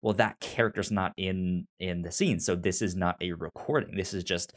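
A man with a stutter chatting casually as a review podcast co-host, in a halting, informal way. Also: low voice, fairly even intonation. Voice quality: stutter, repeating sounds and words.

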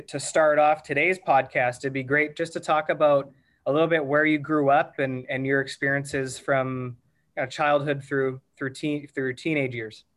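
A man's voice: mid-range voice, a bit bright. A man hosting a podcast interview, asking questions hesitantly, with many fillers and restarts. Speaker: podcast host. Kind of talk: conversational interview.

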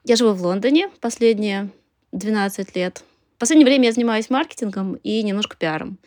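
A woman's voice: mid-range voice, a little fast, darkish tone, no room sound, clean studio sound. A woman in her thirties speaking as a podcast guest in a casual interview, informal and hedging as she thinks aloud. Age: 30s.

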